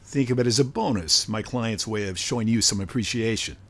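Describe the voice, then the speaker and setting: A man playing a smooth, businesslike go-between for criminals in a contemporary radio drama. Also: on the low side, brisk, slight background noise, lightly acted. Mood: smug, businesslike.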